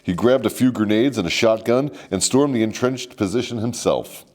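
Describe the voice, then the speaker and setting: A man's voice: lowish voice, moderate pace, a little mellow. A man hosting a scripted comedic military history story, mock-formal and sardonic with salty slang. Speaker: host and storyteller.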